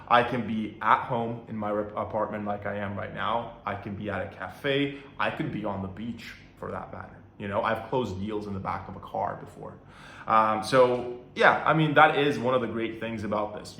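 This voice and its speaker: Young guy from norway, lowish voice, chill advice chat, roomy echo in his apartment. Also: slight background noise. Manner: relaxed, conversational, many fillers like right and you know. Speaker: vlogger giving sales career advice. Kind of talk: casual advice talk to camera. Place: apartment room.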